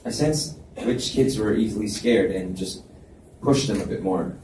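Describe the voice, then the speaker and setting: Casting: a man reading as a quiet, earnest young man, deep mellow voice, roomy distant sound. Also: unhurried. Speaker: reader voicing the male lead. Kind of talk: live reading of a novel. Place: library event space. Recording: roomy, distant mic with faint hiss.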